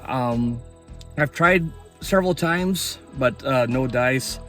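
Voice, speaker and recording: A man's voice: mid-range voice, takes it slowly, fairly bright tone, soft background music. A man talking casually and enthusiastically in an informal vlog as an amateur radio operator.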